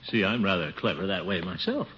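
An actor playing a pompous, boastful middle-aged bachelor with a chuckling, wheedling manner in a 1950s radio comedy. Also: male voice, deep voice, very animated, fairly quick. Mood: boastful, pleased. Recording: dull, noisy vintage radio recording.

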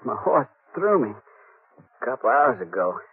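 Husky wounded young cowboy, tinny radio. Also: male voice, mid-range voice, sing-song, normal speed, exaggerated voice. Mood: weak, strained. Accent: American. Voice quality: husky, strained. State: weak, injured, halting. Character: wounded young western man, weak and evasive. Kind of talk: 1950s radio western acting. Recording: tinny old radio recording.

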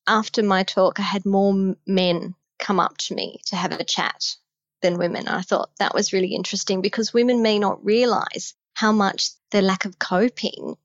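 A woman's voice: medium pitch, fairly even intonation, moderate pace, dry recording, dull audio. A woman with an Australian accent, a guest on a podcast, giving emphatic, direct advice on health in midlife. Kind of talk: podcast conversation.

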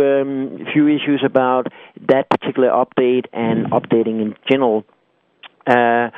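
A man's voice: mid-range voice, dry recording. Man hosting over a tinny line. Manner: halting, many uh fillers. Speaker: podcast host. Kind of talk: casual radio show chat.

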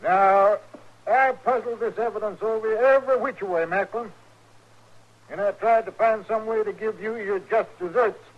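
An actor playing a crusty, blunt old frontier judge in a 1950s radio western, with folksy, cantankerous rural Western American speech. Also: male voice, sits high, moderate pace. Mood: blunt, scolding. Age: older. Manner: folksy, plain-spoken, cantankerous. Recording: muffled 1950s radio recording.

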